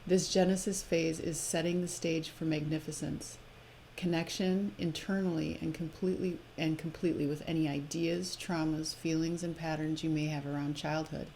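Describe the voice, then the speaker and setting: Woman, low voice, live-stream host, spiritual reading, informal asides, faint background noise. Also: fairly even intonation, normal speed, dark tone, poor audio. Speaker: host of a live spiritual oracle card presentation. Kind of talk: live casual talk and reading aloud.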